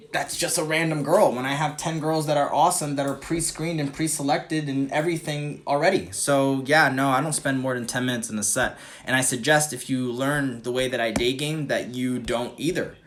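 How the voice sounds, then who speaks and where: A man's voice: medium pitch, a bit bright. A man hosting a live question-and-answer stream as a dating coach, reading out viewer comments in a loose, swaggering way, swearing and coughing now and then. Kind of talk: casual livestream chat reading viewer comments.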